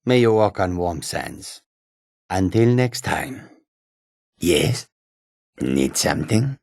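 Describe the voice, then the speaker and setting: A man voicing a wary, combative male cat-folk character from a fantasy video game, with a gravelly, raspy voice and exotic, formal phrasing. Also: low-pitched, expressive, slow pace, a little mellow, no echo, studio quality, full character voice. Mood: calm, courteous.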